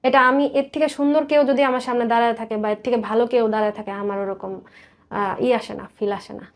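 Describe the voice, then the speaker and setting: Higher-pitched Bangladeshi woman, hesitant. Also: fairly even intonation, a little fast. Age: 30s. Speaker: guest telling life story. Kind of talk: personal confession in interview.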